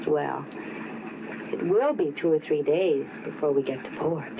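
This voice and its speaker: An actress playing a playful, coaxing, flirtatious rich yacht owner in a 1950s radio drama, with a coy manner. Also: female voice, sits low, a little slow. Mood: coy, confiding. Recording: muffled, noisy vintage radio recording.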